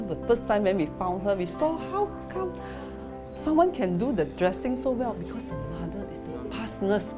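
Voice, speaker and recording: A woman volunteer, talking in a casual interview in a chatty way. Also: lowish voice. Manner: chatty, with fillers and restarts. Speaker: charity volunteer.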